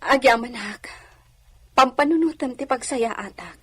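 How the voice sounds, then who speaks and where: A woman's voice: a little high, unhurried, a bit bright, breathy edge. A woman playing a genteel, guarded young lady in an audio drama, polite and reserved in manner.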